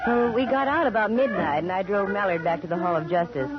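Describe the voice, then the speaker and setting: An American actress playing a wry, sharp-tongued female private investigator in 1940s radio style, delivering dry wisecracks and narration. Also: medium pitch, natural voice. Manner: dry, sardonic, quick with wisecracks. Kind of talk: 1940s radio acting with first-person narration. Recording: muffled vintage radio broadcast with music.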